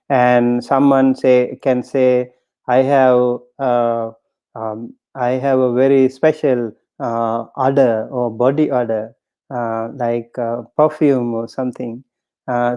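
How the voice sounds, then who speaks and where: A Buddhist monk gives an unhurried dharma talk in a smooth, soft-toned voice. He halts often, filling gaps with small hesitations, and recites scriptural terms before glossing them. The recording is dull and lo-fi. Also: medium pitch. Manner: halting, many pauses and fillers, recites Pali terms then glosses them.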